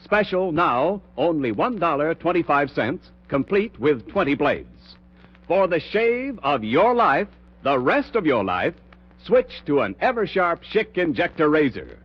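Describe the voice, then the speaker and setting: A man's voice: on the high side, big pitch swings, measured pace. A man reading a 1940s radio commercial as an announcer, with punchy, emphatic salesmanship. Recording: muffled 1940s radio, slightly husky sound.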